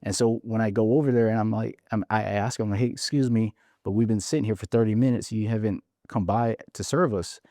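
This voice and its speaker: A man's voice: fairly low pitch, steady tone, fairly quick, warm tone, dry recording, studio quality. A man from Hawaii in his forties, a podcast guest frankly telling his story and often restarting his words. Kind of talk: casual interview storytelling.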